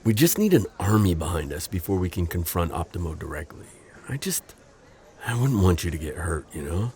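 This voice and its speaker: A man playing a gentle, protective civilian friend in an audio drama, earnest and hesitant with a slight stammer. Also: low voice, big pitch swings, brisk, a little hiss or hum. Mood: caring, earnest. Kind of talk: naturalistic audio roleplay drama acting.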